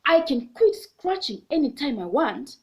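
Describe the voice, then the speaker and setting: A woman's voice: sits high, sing-song, unhurried, a little mellow. A woman voicing an annoyed, stubborn storybook monkey in a scolding, insistent manner.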